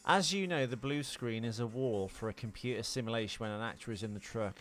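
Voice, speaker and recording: A man with a sharp, bright voice of medium pitch hosts a film podcast. He moves between trivia commentary and casual banter, teasing and a bit bossy. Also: fast talker. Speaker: podcast host.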